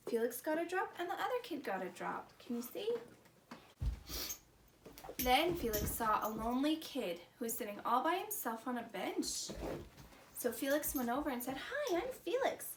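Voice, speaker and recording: High-voiced woman reading to preschoolers, echoey. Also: normal speed. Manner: animated, exclaiming, simple child-directed phrasing. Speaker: children's story time host. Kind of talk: expressive read-aloud to preschool children. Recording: roomy echo, distant mic, background noise.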